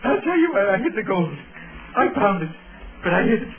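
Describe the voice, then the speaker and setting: A crazed captive scientist in an old radio drama. The voice is very high and rambles with childlike insistence. The sound is tinny, with music behind. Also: male voice, sing-song, brisk. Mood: delirious. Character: crazed, broken captive professor babbling in delirium. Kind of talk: 1930s radio acting.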